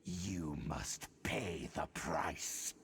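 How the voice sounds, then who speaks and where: A man voicing a menacing otherworldly herald in a video game, gravelly and breathy, with grandiose, threatening delivery. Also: rumbling low, drawn-out words, a bit bright, full character voice. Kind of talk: video game villain acting. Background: game music.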